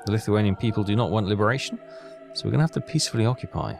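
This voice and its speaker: A man's voice: lowish voice, racing, vocal fry, music in the background. A man hosting a gaming video, giving casual commentary and thinking aloud in a chatty way as he plays.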